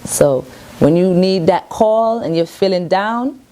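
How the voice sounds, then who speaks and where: A woman's voice: mid-range voice, slow, mellow. A woman, a reggae artist speaking at her home in a casual interview. She talks earnestly and self-assuredly in loose, rambling sentences. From Brooklyn, New York.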